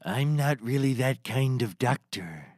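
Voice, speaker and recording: A man's voice: mid-range voice, animated, a little slow, darkish tone, dry recording, clean studio sound. A man playing a grave, troubled mad scientist in a scripted comedy, formal and solemn. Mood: worried, grave.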